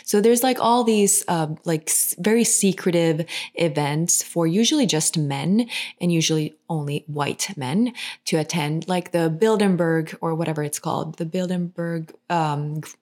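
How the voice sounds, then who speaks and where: A woman's voice: on the low side, normal speed, darkish tone. A woman from Finland, co-hosting a podcast in casual, rambling chat full of fillers and restarts. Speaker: podcast co-host.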